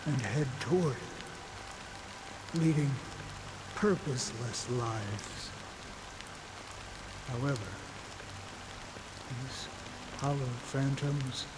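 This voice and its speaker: A man playing a weary, solemn veteran warrior in a game cutscene, speaking in a grave, philosophical manner. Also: medium pitch. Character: weary, solemn male veteran warrior guiding lost souls. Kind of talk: dramatic scripted game cutscene acting. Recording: steady rain noise behind the voice. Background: rain.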